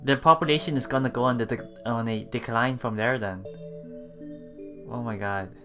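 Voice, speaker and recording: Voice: mid-range voice, normal speed. A let's play streamer reading a visual novel aloud, stumbling and repeating phrases, with murmured asides. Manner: rereads lines after stumbles, murmured reactions between read passages. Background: game music.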